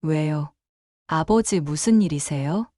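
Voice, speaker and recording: A woman's voice: low voice, takes it slowly, mellow, no room sound. A woman voicing a meek, anxious grown daughter apologizing hesitantly in a dramatized story.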